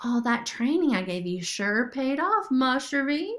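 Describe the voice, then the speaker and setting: A woman's voice: sits high, very animated, measured pace, voice pushed up. A woman voicing a boastful, fierce female cat in a children's storybook, bragging and dramatic as a bossy mentor.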